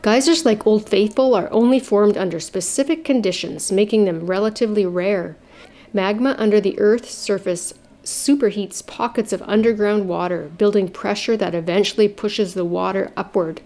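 A woman narrates a scripted documentary passage in an even, informative tone. Her voice sits at a middle pitch with a mellow, dark color.

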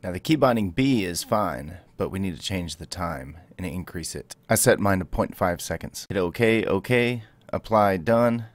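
A man's voice: deep voice, expressive, normal speed, few pauses, dry recording. A man hosting a game tutorial, commenting and speaking voice commands to the game. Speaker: gaming video host and player.

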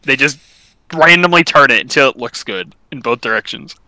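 A man's voice: medium pitch, normal speed, bright, forceful tone. A man chatting casually and jokingly with teammates over noisy game voice chat. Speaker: gamer on team voice chat.

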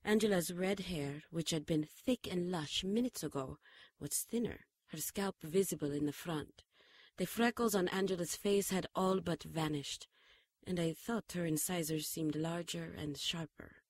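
Actress as low-voiced, earnest heroine. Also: female voice, normal speed, a little mellow, breathy edge, no echo. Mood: measured narration. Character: earnest, caring female companion of a hero, narrating. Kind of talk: radio drama acting and narration.

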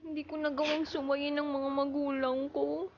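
A woman's voice: sits high, flat delivery, moderate pace, slight background noise. A woman acting a young wife kept at home by a jealous husband, pleading and tearful, in a radio drama. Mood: hushed sorrow. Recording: lo-fi radio broadcast with music.

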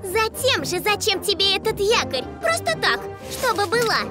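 Cheeky, high-pitched young porcupine girl. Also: sing-song, normal speed. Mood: offhand. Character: cheeky young female porcupine trickster, bold showwoman. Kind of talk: animated children's cartoon acting. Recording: music behind the voice.

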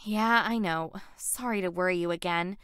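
A woman's voice: on the low side, moderate pace, a bit bright, clean tone, dry recording, studio quality, lightly acted. A woman voicing a stubborn, driven young female mechanic in a sci-fi visual novel. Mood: defiant.

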